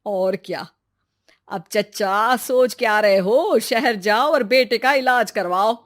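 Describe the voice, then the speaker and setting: A woman's voice: on the high side, slow. A woman playing a curious, chatty, helpful village woman with an inquisitive, colloquial manner, in a cartoon moral story. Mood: encouraging.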